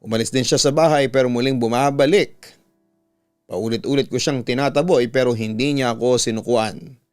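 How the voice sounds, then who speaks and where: A man's voice: mid-range voice, steady tone, normal speed, darkish tone, smooth. A man with a Filipino accent reading listener letters as a scripted story narration and giving advice as a radio host. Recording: dull audio with music behind. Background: music bed.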